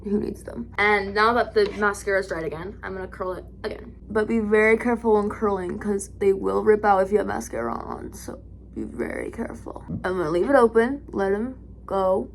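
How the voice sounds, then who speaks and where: A young woman with a raspy voice, chatting casually through a makeup tutorial, rambling and correcting herself as she goes. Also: medium pitch, a little fast, a little hiss or hum. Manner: rambling, self-correcting, lots of "like". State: raspy today. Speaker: vlogger.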